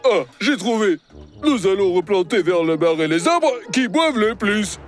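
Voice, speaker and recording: A man's voice: sits high, expressive, normal speed, soft background music. A man voicing a practical, level-headed dinosaur teammate in a cartoon, speaking matter-of-factly. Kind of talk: cartoon dub acting.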